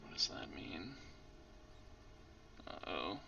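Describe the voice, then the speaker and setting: A man who is losing his voice from illness, giving casual let's-play commentary in a hoarse, mumbling, often half-whispered way. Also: sits low, very animated, takes it slowly, recorded from a distance, highs cut off, background noise. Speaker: streamer playing a game.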